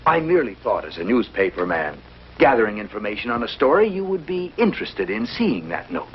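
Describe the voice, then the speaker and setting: Proud small town newspaper editor, formal n defensive, very animated pitch, old muffled tv sound. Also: male voice, brisk, a little hiss or hum. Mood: earnest, indignant. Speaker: actor in a TV drama. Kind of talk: 1950s television drama acting.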